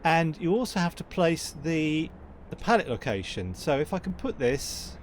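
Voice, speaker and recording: Gameplay host, male, croaky, rambling and self-correcting, faint game noise underneath. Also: on the high side, big pitch swings, normal speed. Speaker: gaming channel host. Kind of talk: casual farming-sim gameplay commentary.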